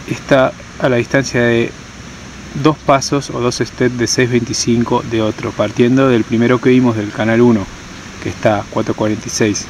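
A man's voice: medium pitch, a little mellow, a little hiss or hum, low-quality recording. A man giving a hands-on tutorial outdoors, explaining step by step and repeating key terms. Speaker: tutorial presenter. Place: outdoors on stony ground.

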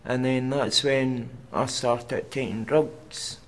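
Slow-spoken young Glaswegian man. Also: medium pitch, fairly even intonation. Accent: Glaswegian Scottish. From Scotland. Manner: halting, plain and slow, with pauses and fillers. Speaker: documentary interviewee. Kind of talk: personal testimony to camera. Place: outdoors on a city street at night.

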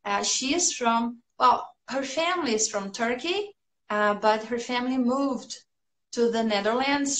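A woman's voice: fairly high pitch, a bit bright. Chatty Brazilian woman in an echoey room. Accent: Brazilian Portuguese. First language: Portuguese. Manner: chatty and upbeat, laughing often. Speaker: English teacher. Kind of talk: casual livestream chat answering viewers' comments. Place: small room at home, indoors.

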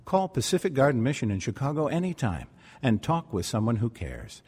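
A man delivering scripted radio ministry announcements and scripture in an earnest, deliberate way. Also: medium pitch, big pitch swings, a little mellow, slightly rough.

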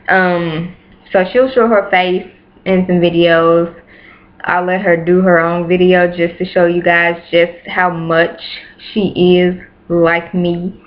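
A woman in her late twenties chatting casually to camera in a small bedroom, repeating herself for emphasis. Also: fairly low pitch. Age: 20s. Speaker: YouTuber. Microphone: webcam at close range. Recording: dull lo-fi webcam audio.

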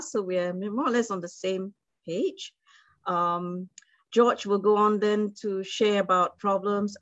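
A woman's voice: mid-range voice, no room sound, dull audio. A woman hosting and moderating an online forum over a video call, talking with many fillers and restarts. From Singapore. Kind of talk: online webinar introduction.

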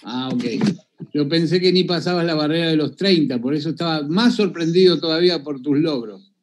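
A man's voice: fairly high pitch, fairly quick. A man hosting a video call interview, jovial and teasing, asking questions using vos. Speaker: interview host. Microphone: phone video call.

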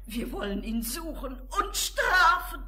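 A mother goat from an old fairy-tale radio play. Her high voice is unhurried and full of motherly feeling, anxious and tender, with dramatic delivery. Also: big pitch swings, bright, forceful tone, full character voice. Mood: worried, resolute. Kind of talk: 1960s German children's radio play acting.

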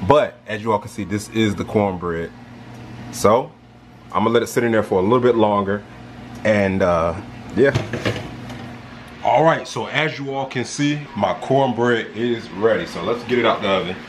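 A young man talks viewers through his home cooking step by step in a relaxed, chatty way. His voice sits fairly low. The handheld camera catches clear frying and stirring noise from the kitchen around him. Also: moderate pace. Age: young adult. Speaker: cooking vlogger. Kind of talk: casual cooking demonstration. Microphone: handheld camera, close and at arm's length. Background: food sizzling in a pan.

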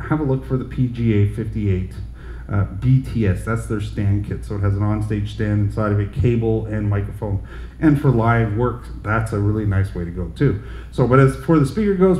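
A middle-aged man talks through a gear demo in a loose, friendly sales patter. His voice is mellow, and it rings a bit in the roomy store. A faint hum of noise sits behind him. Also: mid-range voice. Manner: chatty, relaxed salesman patter with fillers. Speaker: store product demonstrator. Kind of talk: casual product demo. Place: large indoor audio gear shop. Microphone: headset and handheld mics, switched. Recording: roomy shop sound, faint background noise.

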